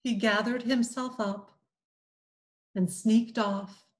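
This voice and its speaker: A woman reading a classic novel aloud, slow and expressive, giving the characters voices. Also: fairly high pitch. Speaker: community reading program volunteer reader.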